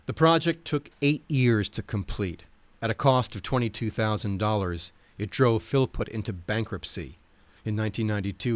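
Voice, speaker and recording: A man reading scripted nonfiction in a wry, expressive storytelling manner. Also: fairly low pitch, no room sound. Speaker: audiobook reader. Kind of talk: scripted nonfiction narration with acted quotes.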